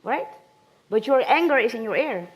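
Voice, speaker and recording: Woman in the crowd, blunt, pretty high voice. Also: animated, moderate pace, breathy edge, rough recording. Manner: blunt, provocative. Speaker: audience member. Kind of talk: heated live debate.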